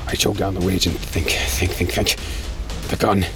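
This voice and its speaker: A man's voice: deep voice, level tone, moderate pace. A man narrating an audio drama in the first person with terse, tense intensity, over music.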